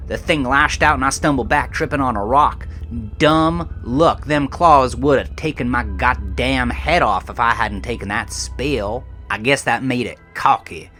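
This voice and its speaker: An actor playing a shaken, defensive American trucker, telling a horror story in a coarse, folksy, rambling way for an audio drama. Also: male voice, medium pitch, a bit bright, lightly acted. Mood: tense, haunted. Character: shaken, defensive trucker confessing a horrifying roadside encounter. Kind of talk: dramatic first-person horror audio monologue.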